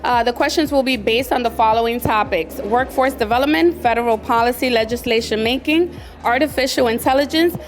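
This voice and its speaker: A woman's voice: on the high side, a little fast, faint music behind. A woman moderating a panel at a restaurant event, giving formal, scripted introductions. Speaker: moderator of a professionals' group panel. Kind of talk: event hosting. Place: restaurant event space, indoors.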